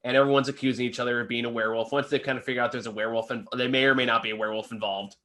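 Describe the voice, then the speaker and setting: A man's voice: mid-range voice, steady tone, racing, bright, forceful tone. A man co-hosting a casual film review chat, speaking informally and hedging as he trails into asides.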